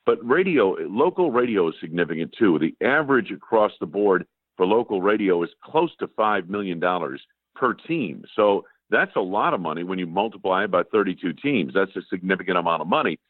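A low-voiced man calls in over a thin, tinny phone line. He talks in an easy, anecdotal way, dotting his sentences with fillers. The sound is dry and close. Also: very animated, fairly quick, no room sound. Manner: conversational, anecdotal, many fillers. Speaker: radio play-by-play broadcaster, guest. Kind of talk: casual phone interview. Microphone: telephone.

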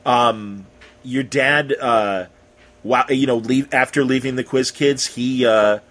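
A man hosting a casual interview, putting his questions in an informal, halting and rambling way. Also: mid-range voice, fairly even intonation, a bit bright.

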